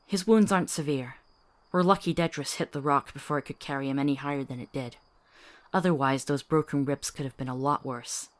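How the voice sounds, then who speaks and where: A woman's voice: very deep voice, brisk. A woman playing a principled, capable healer in a fantasy drama, articulate, authoritative and dryly witty. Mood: matter-of-fact. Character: firm, principled female healer, capable and wry. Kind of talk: fantasy audio drama acting.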